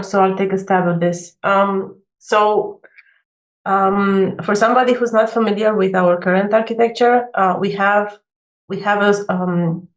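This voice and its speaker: Hesitant woman, echoey room. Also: mid-range voice. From Romania. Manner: hesitant, many fillers. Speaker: backend engineer. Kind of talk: casual video call discussion. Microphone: video call.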